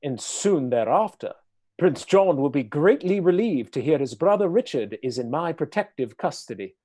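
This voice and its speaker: A woman's voice: low voice, expressive, moderate pace, warm tone. A woman playing a scheming, pompous noble in a radio play, imperious and theatrical.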